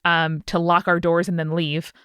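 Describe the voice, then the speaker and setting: A woman's voice: lowish voice, little pitch movement, moderate pace, fairly bright tone, clean tone, dry recording, studio quality. A woman co-hosting a podcast, telling a story in a casual, playful, conversational way. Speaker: podcast host.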